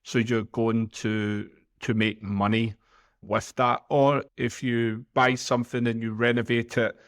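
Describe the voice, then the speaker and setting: A man's voice: medium pitch, level tone, measured pace. A man from Glasgow, co-hosting a podcast and giving plain-spoken, practical advice. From Glasgow, Scotland. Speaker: podcast co-host. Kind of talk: casual podcast chat.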